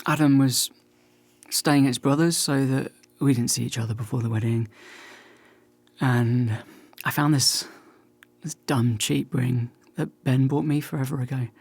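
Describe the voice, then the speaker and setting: A man's voice: medium pitch, fairly even intonation, unhurried, creaky, a little mellow, husky, dry recording. A wry, self-deprecating man in a dorm for a radio play. He speaks in a mid-range voice with a hesitant, conversational manner, recorded close and dry. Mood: hesitant, confessional. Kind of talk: naturalistic radio play acting.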